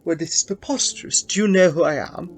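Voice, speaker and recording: An actor playing an older, effusively generous Victorian gentleman in a theatrical radio play style. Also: fairly high pitch, brisk, close to an everyday voice. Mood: troubled.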